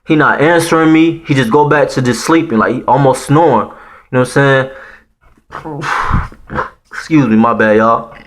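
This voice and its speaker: A man's voice: mid-range voice, a little slow, pauses often, a bit bright, rough recording. A man in his thirties from Haiti with a Haitian accent, telling a personal story to viewers in a casual, slangy, profane way with lots of "man" fillers. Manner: slangy and profane, peppered with "man" and "you know what I'm saying".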